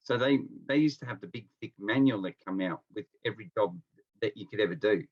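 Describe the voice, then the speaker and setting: A man's voice: fairly low pitch, brisk, darkish tone, dull audio. A middle-aged Australian man from Melbourne chatting as an interview guest, telling stories in a laid-back, colloquial way. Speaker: interview guest, experienced nurse. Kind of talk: casual anecdotal chat. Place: at home, on a remote call.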